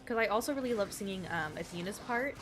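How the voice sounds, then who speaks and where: A woman live-streaming a video game, chatting in a rambling, playful way with a relatively deep voice. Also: lively intonation, fast. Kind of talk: casual chat with gamers and viewers, bursts of singing. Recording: music behind the voice. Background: music.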